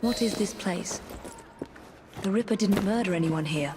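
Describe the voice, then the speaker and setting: A woman's voice: mid-range voice, moderate pace, background noise, natural voice. An actress playing a determined young female assassin in a video game cutscene, speaking in a resolute, searching way. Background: game score music.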